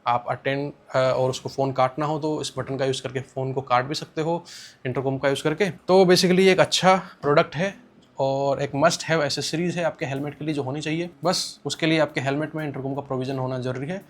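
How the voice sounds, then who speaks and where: A man's voice: medium pitch, fairly even intonation, a little fast. An Indian man giving a casual spoken product review, explaining things plainly and repeating points for emphasis.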